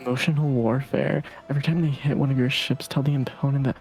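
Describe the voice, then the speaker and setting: A man's voice: medium pitch, flat delivery, quick pace, darkish tone, faint music behind, low-quality recording. A man casually reading along and chatting as a player on a live game stream.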